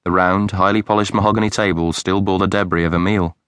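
Male narrator, lowish voice, measured read, close dry studio sound. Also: clear, resonant tone, no echo. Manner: measured, even storytelling. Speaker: audiobook narrator. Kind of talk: scripted audiobook narration. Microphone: close studio mic.

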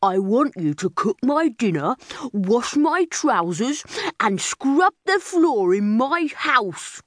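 Woman putting on a slow, gruff, bossy bear. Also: fairly high pitch, sing-song, no echo, studio quality. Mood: bossy, menacing. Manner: gruff, domineering, drawn-out. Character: massive muscly male bear, bossy, greedy bully.

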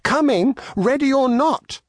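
A man's voice: very high-pitched, measured pace, dry recording, studio quality, pitched above the performer's own voice. A man voicing an eager, imaginative five-year-old boy at play in a children's storybook. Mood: playful, excited.

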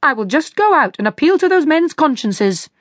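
A woman's voice: normal speed, no room sound, clean studio sound, natural voice. A woman doing a grumpy old shoemaker, Master Pfriem. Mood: ranting. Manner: scolding, shrill and blustering. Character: grumpy fault-finding old male shoemaker.

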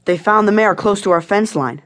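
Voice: medium pitch, fairly quick, a bit bright, natural voice. An actor voicing a wary, defiant twelve-year-old frontier boy with an American accent and rustic, folksy speech in a Western audio drama. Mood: aggrieved, earnest.

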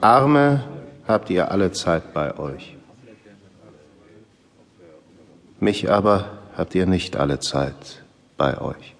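A man playing a calm, resolute spiritual teacher in an audio drama for children, speaking with measured authority. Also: low voice, gravelly, exaggerated voice. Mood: firm, grave.

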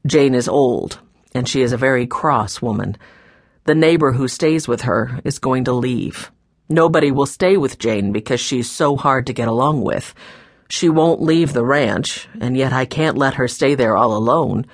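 A kindly old grandfather from a children's storybook, voiced by a woman, weary and troubled. The voice is pitched low and husky, with a subdued sadness. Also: measured pace, dry recording, studio quality, full character voice. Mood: troubled, reflective. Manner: tired, sad, subdued. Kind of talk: children's audiobook character voice.

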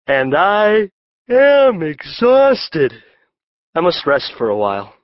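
Gallant lovesick youth for Shakespeare audiobook: florid, animated, unhurried, very dry sound. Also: male voice, high-pitched, no room sound, highs cut off, exaggerated voice. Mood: exasperated, weary. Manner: florid, declamatory. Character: lovesick young Athenian man, ardent and gallant. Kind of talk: full-cast Shakespeare audiobook acting.

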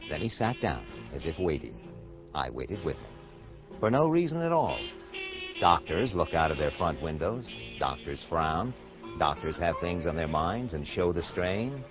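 A really deep american private eye, sardonic, lively swing in the voice, hardboiled radio drama. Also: male voice, moderate pace, highs cut off, music in the background. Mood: wry, watchful. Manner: sardonic, wisecracking. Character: wry, cynical American male private detective. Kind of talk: hardboiled radio drama, first-person narration and dialogue.

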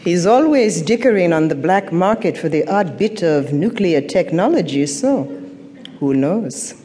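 An actress playing a haughty, sardonic middle-aged African mother of a dictator in an audio drama, speaking in measured, cutting phrases. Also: fairly low pitch, animated, warm tone, slight background noise. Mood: sardonic, proud. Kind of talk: audio drama stage acting. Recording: reverberant theatre-like hall.

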